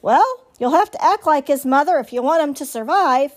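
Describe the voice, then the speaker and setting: A woman's voice: high voice, lively intonation, moderate pace, pitched above the performer's own voice. A woman voicing a brusque old female goose in a storybook, squawking and matter-of-fact. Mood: matter-of-fact advice.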